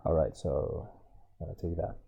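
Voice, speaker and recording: Halting man reading a test passage. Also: deep voice, steady tone, creaky, dark tone, dull audio, slight background noise. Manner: halting, many restarts and self-corrections while reading. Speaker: IELTS tutor.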